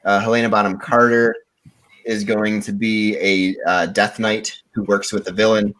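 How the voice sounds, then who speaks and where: A man with a fairly low voice talks casually as a podcast contestant. He lays out his pitch in an informal, conversational way. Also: flat delivery, moderate pace, fairly bright tone, low-quality recording.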